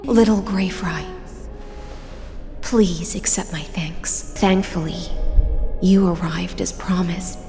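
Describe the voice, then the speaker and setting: A gracefully resigned warrior songstress from a game. Her voice is lowish and unhurried, poised and melancholy, ringing in a long reverb over music. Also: creaky. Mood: serene, wistful. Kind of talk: dramatic game voice acting.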